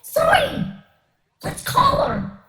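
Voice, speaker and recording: High, swooping, earnest young boy. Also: unhurried, reverberant, background noise. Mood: excited. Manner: earnest, childlike, excitable. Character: eager, insecure young boy, aspiring superhero sidekick. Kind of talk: radio play acting.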